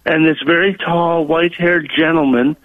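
A man's voice: on the high side, fairly even intonation, normal speed, no room sound. A man calling in to a radio show, telling a personal story with many hesitations and ums. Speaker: caller. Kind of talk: call-in testimony. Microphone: telephone. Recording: tinny phone line.

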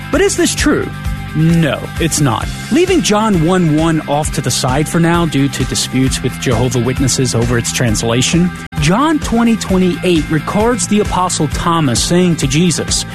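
A man's voice: on the high side, big pitch swings, moderate pace, over music. A man presenting a scripted segment, explaining and arguing a point.

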